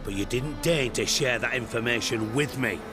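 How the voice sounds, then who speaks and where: A man playing a proud, menacing crime boss in a video game, speaking in a formal, deliberate, threatening manner. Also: medium pitch, close to an everyday voice. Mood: cold, menacing. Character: proud, menacing male crime boss owed his treasure. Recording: music behind the dialogue.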